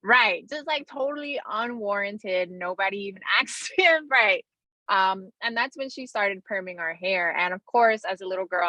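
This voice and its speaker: A woman's voice: on the low side, sharp tone, dry recording, dull audio. A woman telling personal stories casually as an interview guest, peppering her talk with "like" and "you know". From Bronx, New York City. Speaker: interview guest, company founder.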